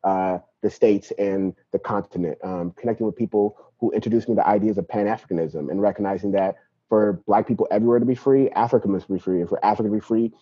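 A man's voice: on the low side, fairly even intonation, fast, husky. A young man from Nigeria, a guest talking casually and reflectively about his life, often tossing in "you know" and "right?". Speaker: podcast guest, activist. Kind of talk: casual interview talk. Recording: dull lo-fi podcast audio.

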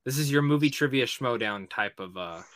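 A male podcast co-host with a bright, forceful mid-range voice in a very dry recording. He chats casually and animatedly, throwing in slang and swearing. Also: mid-range voice, animated, moderate pace, no echo. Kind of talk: casual movie chat.

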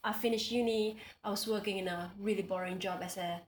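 A woman's voice: medium pitch, fairly even intonation, a little fast. Young Singaporean-accented woman, casual guest, chatty; echoey room with slight noise. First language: Indonesian. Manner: chatty, frequent restarts and laughter. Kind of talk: casual conversational interview.

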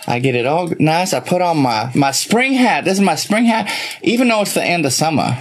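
Performer putting on a husky, rambling old Southern belle. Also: mid-range voice, very animated. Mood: preening, flustered. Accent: American Southern. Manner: halting, bawdy, grandiose. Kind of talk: improvised comedy character bit.

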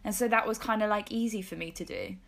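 A woman's voice: mid-range voice, very animated, fast talker, gravelly, a bit bright. A woman of twenty-two with a British accent, hosting a podcast in an informal chatty way and often correcting herself. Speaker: podcast host. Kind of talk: casual chat.

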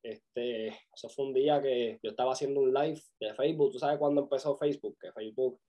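A man in his twenties with a Puerto Rican accent, chatting casually as a podcast guest and telling his story in a halting, self-correcting way. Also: mid-range voice, steady tone, dark tone. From Puerto Rico. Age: 20s. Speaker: podcast guest, music videographer. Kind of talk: casual interview chat.

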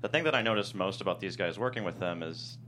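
Low-voiced male writer, halting delivery. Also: expressive, sharp tone, a little hiss or hum. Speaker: writer, guest. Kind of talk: casual interview explanation. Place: studio.

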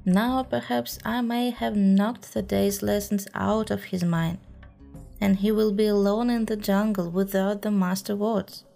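A woman's voice: mid-range voice, steady tone, measured pace, gravelly, warm tone, faint music behind. A woman voicing a grieving, fretful old male bear who laments and pants as he speaks. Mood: anguished. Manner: lamenting, panting.

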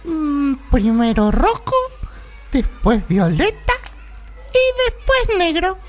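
A woman's voice: sits high, sing-song, slow, faint music behind, heavily acted. A woman voicing an innocent, eager small pupil in comic radio theatre, earnest and childishly matter-of-fact.

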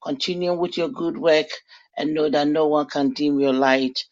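A woman's voice: sits low, steady tone, moderate pace, a little mellow. A woman giving a fan message full of faith-filled affirmations. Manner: affirming, preacher-like blessing. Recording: dull lo-fi recording.

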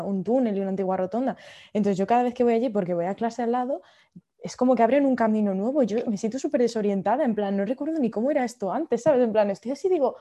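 A woman's voice: a little high, dark tone. A young woman from Madrid with a Madrid Spanish accent, hosting a casual podcast chat and telling stories with frequent laughter. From Madrid, Spain. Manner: chatty, laughs often, storytelling.

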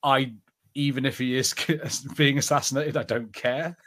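A man's voice: medium pitch, steady tone, unhurried, a bit bright. A man co-hosting a podcast in casual banter, speaking in an easygoing, agreeable way. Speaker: podcast co-host.

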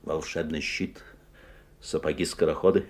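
An actor playing a mysterious magic-shop salesman in a 1970s radio play, showy and slyly courteous, with unctuous patter and a husky voice. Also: extremely low pitch, little pitch movement, moderate pace, darkish tone, full character voice. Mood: grandiose patter.

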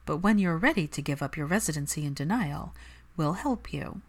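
A woman's voice: low voice, expressive, normal speed. A woman voicing a bold, mischievous young witch with a sly, blunt way of talking in a story reading. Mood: sly, low.

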